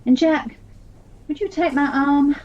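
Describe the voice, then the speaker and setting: A woman playing an emotional, tired, guarded young woman in an audio play, halting and dramatic. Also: sits high. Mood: weary, guarded. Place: recorded at home during lockdown. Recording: muffled home recording.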